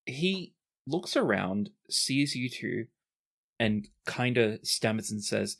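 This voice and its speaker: A man narrating and voicing characters as game master of a tabletop role-playing game, relaxed and using fillers. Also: medium pitch, takes it slowly, vocal fry.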